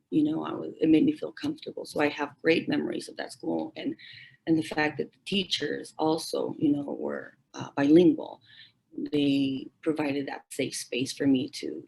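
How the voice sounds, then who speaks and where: Hoarse, gravelly low-voiced woman. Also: darkish tone, low-quality recording. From Mexico. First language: Spanish. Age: 40s. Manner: halting, restarts and repeats phrases. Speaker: Spanish language teacher. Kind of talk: personal story told at a forum.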